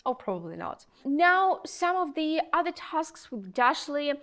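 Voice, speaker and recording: A young woman with a fairly high voice presents a product review. She talks in a chatty, conversational way, stressing her points with enthusiasm. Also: sing-song, measured pace, a bit bright. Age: young adult. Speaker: presenter of software review videos. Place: small indoor studio room at a desk. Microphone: lapel mic.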